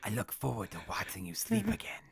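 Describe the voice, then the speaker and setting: A man voicing a menacingly polite undead creeper in an audio drama, slow, breathy and whispery. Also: medium pitch, huge pitch swings, no echo, heavily acted. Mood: creepy menace. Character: Long Leg Larry, sinister undead male creeper, menacingly polite. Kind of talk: post-apocalyptic audio drama acting.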